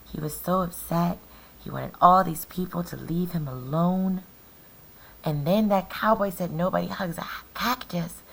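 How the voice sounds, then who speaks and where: A woman teacher doing an interactive storybook read-aloud, deliberately questioning and explaining things to young children. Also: lowish voice, creaky, poor audio.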